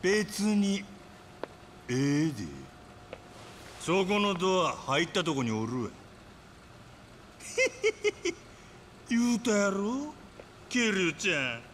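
An actor playing a manic, battle-hungry yakuza boss with a Kansai accent, taunting and gleeful in a video game. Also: male voice, high-pitched, wildly swooping pitch, extremely slow, long pauses, slight background noise, full character voice. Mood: manic eagerness. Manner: drawling, taunting, erupting into shouts. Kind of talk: theatrical video game acting.

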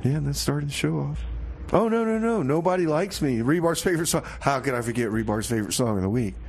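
A man playing a glum, self-pitying, hapless radio DJ who talks in a halting, dejected, repetitive way on a comedy music show. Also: a little high, expressive, creaky, slight background noise. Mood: flustered, gloomy. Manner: halting, dejected, sighing, repeating himself. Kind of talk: comedy radio theater DJ patter.

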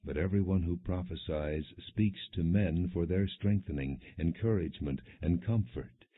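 Low, husky man reading scripture. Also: no echo. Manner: measured, deliberate phrasing. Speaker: audiobook narrator. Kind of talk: scripted Bible reading, non-dramatized. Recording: muffled, narrow phone-like sound.

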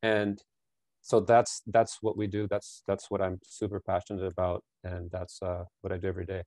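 A man in his forties with a fairly low voice speaks earnestly on a video call from a small bedroom, wearing a headset. He often doubles his words while he thinks. The sound is dry and close. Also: completely flat delivery, normal speed, a little mellow, no echo. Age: 40s. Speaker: guest, business founder. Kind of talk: podcast interview answers. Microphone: headset over ears, video call.

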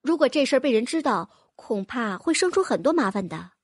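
A clever young heroine in a fantasy storybook, calm and explaining, as a woman reads her. Her voice is high and lively. The recording is dry and close. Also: a little fast, husky, dry recording.

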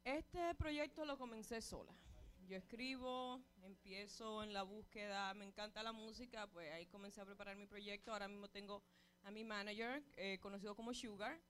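A woman in her twenties with a Dominican accent, a singer chatting casually as a TV interview guest, agreeable and quick to echo the interviewer. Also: mid-range voice, fairly bright tone. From Dominican Republic. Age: 20s. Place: TV studio. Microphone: handheld microphone.

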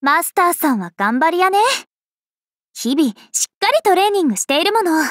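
An actress playing a cheerful, playful young vampire princess in an anime game, speaking in a bubbly, teasing, animated way. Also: female voice, very high-pitched, brisk, dry recording, clean studio sound, exaggerated voice. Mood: cheerful, chatty. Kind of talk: anime game character voice lines.

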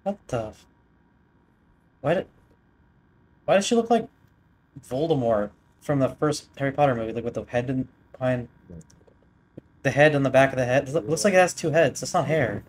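A man's voice: mid-range voice, animated, normal speed, pauses often, a little mellow. A young man giving casual, off-the-cuff reaction commentary from a small room indoors. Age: young adult. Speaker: reaction video host.

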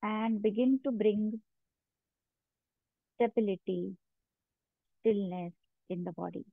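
A woman guiding a meditation as a yoga instructor, giving measured instructions with long pauses between them. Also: medium pitch, creaky, no echo. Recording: narrow phone-like sound, very dry.

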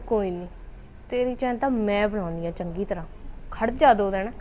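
A woman with a Punjabi accent playing a jealous, resentful, sharp-tongued younger daughter-in-law in a family drama. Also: high-pitched, fairly quick, a little hiss or hum, poor audio. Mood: mocking, scheming. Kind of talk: colloquial domestic drama acting.

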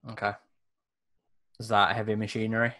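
A man's voice: on the low side, completely flat delivery, moderate pace, sharp tone. A man chatting casually as a player in an online tabletop roleplaying game.

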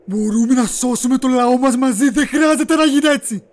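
A man as a high-voiced, conflicted turncoat warrior. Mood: pleading. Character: conflicted turncoat warrior pleading with his friend. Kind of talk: amateur Greek game dub acting.

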